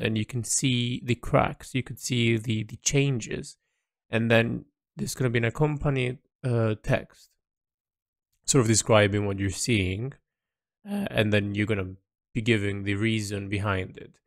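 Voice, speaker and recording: A man thinking aloud in an audio log about the story he is writing and illustrating, halting and restarting often. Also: lowish voice, creaky, dry recording, studio quality. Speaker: creator discussing his illustrated story project. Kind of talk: casual spoken audio log.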